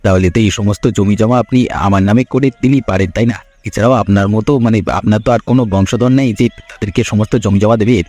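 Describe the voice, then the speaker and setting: Man playing a fast-talking greedy village schemer. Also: lowish voice, steady tone, darkish tone, soft background music, lightly acted. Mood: pushy, impatient. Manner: insistent, needling. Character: greedy, pushy younger village schemer demanding his share. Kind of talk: cartoon fairy tale voice acting.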